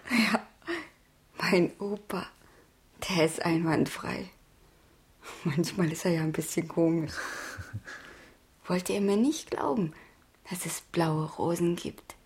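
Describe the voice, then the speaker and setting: An actress playing a gentle, dreamy injured schoolgirl in a German radio drama, with a soft voice. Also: lowish voice, slow, long pauses, husky, exaggerated voice. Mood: wistful. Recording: music behind, 1970s radio play.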